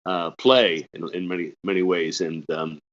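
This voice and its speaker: A man in his seventies with a hoarse, cold-roughened voice, hosting an interview in a halting, hesitant way. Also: low voice, steady tone, fairly bright tone. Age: 70s. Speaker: host, retired pastor. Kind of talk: casual interview chat. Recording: muffled lo-fi audio.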